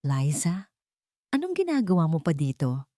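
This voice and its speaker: A woman's voice: sits low, wildly swooping pitch, moderate pace, dark tone, dry recording, studio quality. A woman voicing a stern, wealthy man in an audio story, cold and curt with an anguished edge.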